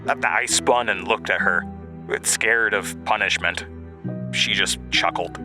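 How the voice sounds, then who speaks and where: A young man acting as a haunted narrator in an audio drama, recalling his childhood in a halting, reflective monologue. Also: fairly low pitch. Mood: wistful, reflective. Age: young adult. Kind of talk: dramatic first-person monologue, reminiscing. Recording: music bed under voice. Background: music.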